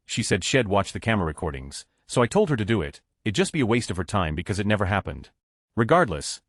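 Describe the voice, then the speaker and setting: A man narrating online stories aloud in a brisk, matter-of-fact reading. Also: fairly low pitch, dry recording, studio quality.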